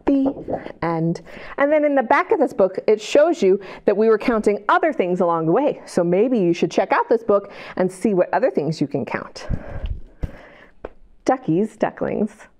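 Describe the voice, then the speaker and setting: A middle-aged American woman hosting a library storytime, reading aloud to young children in an expressive, sing-song way and drawing them in with questions. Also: mid-range voice, moderate pace. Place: indoor library children's area. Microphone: lapel mic.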